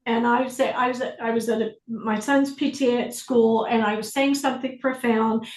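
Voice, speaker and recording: A woman leads an online group through a reflective exercise, her voice sitting fairly high. She prompts slowly and deliberately. The sound carries a noticeable room echo. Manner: calm, slow, deliberate prompting. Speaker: facilitator. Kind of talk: guided inquiry on a group video call.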